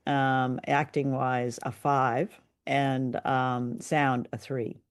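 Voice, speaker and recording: A woman co-hosting a conversational review show, speaking in measured, hesitant phrases. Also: very deep voice, flat delivery, smooth, no room sound, studio quality. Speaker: podcast co-host. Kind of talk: conversational radio drama review.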